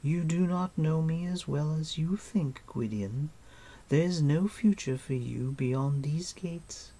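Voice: medium pitch, slow pace, voice pushed up. A narrator voicing a cruel, imperious enchantress queen for an audiobook, silky and menacing in manner. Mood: coaxing menace. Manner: gentle coaxing turning to menacing hiss. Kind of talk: audiobook character voice.

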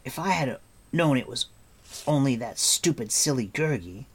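A man voicing an impetuous, hot-tempered boy hero in a storybook, excitable and indignant. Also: medium pitch, moderate pace, breathy edge, voice pushed up. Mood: angry, sulky.

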